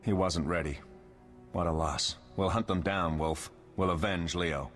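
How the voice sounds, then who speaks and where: A man's voice: low-pitched, unhurried, a bit bright, faint music behind. An actor playing a resolute, direct monster hunter in a video game, with long reverb on his voice. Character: resolute male monster hunter, brisk comrade.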